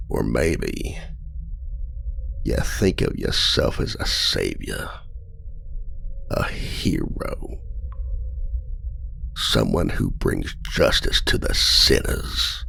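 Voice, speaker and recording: A smug, flirtatious outlaw trickster locked in a cell, as a man voices him. His voice sits very deep and gravelly, and it rises and falls a lot. He talks slowly and silkily, teasing his listener, with a faint hiss of noise behind him. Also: bright, forceful tone, exaggerated voice. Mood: mocking. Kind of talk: ASMR roleplay audio.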